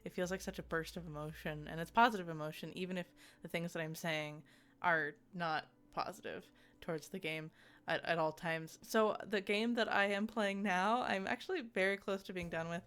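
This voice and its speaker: A woman's voice: fairly low pitch, fairly even intonation, moderate pace, a bit bright, clean tone. A woman giving a casual, conversational video game review in a giggly, self-deprecating manner.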